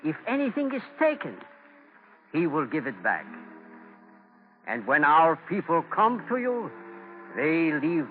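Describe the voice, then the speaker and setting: An actor playing a dignified, compassionate Native man, speaking earnestly in measured, formal words, in 1940s radio drama style. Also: fairly high pitch, sing-song, soft background music. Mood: earnest, friendly. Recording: tinny, noisy 1940 radio recording.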